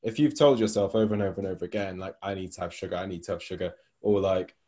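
A man with a mellow, darker tone and a lowish voice, chatting on a podcast as a coach. He talks loosely, with plenty of fillers like "like" and "you know". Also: fairly even intonation, fast. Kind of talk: casual podcast discussion.

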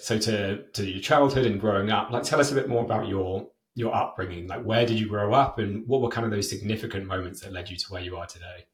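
Male podcast host, lowish voice, relaxed and chatty, recorded in a roomy space. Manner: loose and chatty in questions, with repeated "kind of" and "like". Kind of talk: scripted intro, then interview. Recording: roomy echo.

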